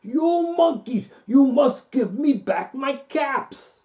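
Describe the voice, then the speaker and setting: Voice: high-pitched, expressive, slow pace, darkish tone, voice pushed up. A storyteller voicing an exasperated male cap peddler who scolds emphatically and stammers in a children's storybook. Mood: very angry.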